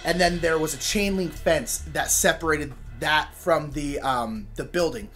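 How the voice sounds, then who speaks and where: A young American man telling a personal story casually, in an animated, informal way with joking asides. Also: a little high, unhurried, soft background music. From Sacramento, California. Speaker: storyteller, show host.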